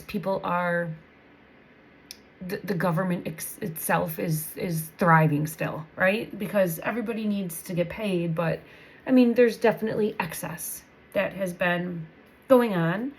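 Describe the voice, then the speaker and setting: A woman's voice: medium pitch, low-quality recording. A woman recovering from a head cold, giving a casual spoken tarot reading. She thinks aloud as she goes, with restarts and repeated phrases. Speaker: tarot reader.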